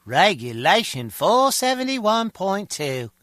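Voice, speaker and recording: Sardonic henchman, high bright voice, grumbling, swinging intonation, video game guard. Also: very animated, slow pace, no room sound, studio quality, exaggerated voice. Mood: irritated, sardonic. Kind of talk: video game dialogue acting.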